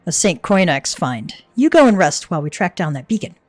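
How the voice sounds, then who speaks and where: A woman's voice: on the low side, big pitch swings, brisk, no room sound. A woman voicing a tiny, cheerful, fussing female helper from a game, perky and eager. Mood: brisk, businesslike. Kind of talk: cozy read-aloud of game dialogue.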